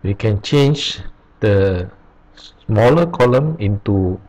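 A man teaching programming over a screen recording, explaining each step slowly with pauses. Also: fairly low pitch, lively intonation, darkish tone. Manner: slow, halting explanation with pauses. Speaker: programming tutor. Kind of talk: screen-recorded coding tutorial. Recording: dull lo-fi recording.

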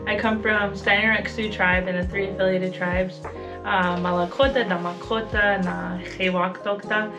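A woman's voice: medium pitch, level tone, a little slow. A woman in her thirties, a comedian, answering casually and chattily in an interview. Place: small backstage dressing room. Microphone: camera across the room. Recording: distant camera mic, music behind.

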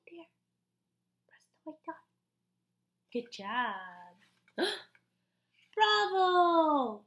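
A young woman with a high voice hosts an interactive story time for little kids. Her delivery is slow and very lively, swinging up and down with exaggerated encouragement. She speaks as if guiding children through each page. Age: young adult. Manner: animated, exaggerated, encouraging, with audible gasps and pauses. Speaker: story-time host reading a picture book aloud. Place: small indoor room.

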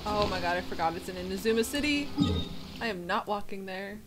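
A woman's voice: mid-range voice, expressive, brisk, rough recording. A woman giving casual, wry and joking commentary as she plays through a video game. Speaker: gamer narrating own playthrough. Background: game music.

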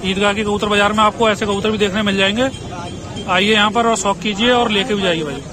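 A man with a Western Uttar Pradesh Hindi accent talks in brisk, salesy patter, his voice sitting fairly high. He is recorded on a phone while walking through an open-air market, with chatter and traffic all around him. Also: steady tone. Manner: brisk promotional patter, repeatedly urging viewers. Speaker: vlogger touring a pigeon market. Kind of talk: walking market vlog, talking to sellers and viewers. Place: busy outdoor street market. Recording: noisy outdoor market crowd. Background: crowd chatter and traffic.

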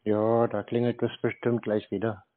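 A man chatting casually on a call, answering in short, deadpan, needling remarks. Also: fairly low pitch, normal speed. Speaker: scam baiter taking calls. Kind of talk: casual phone chat. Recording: dull roomy phone-quality audio.

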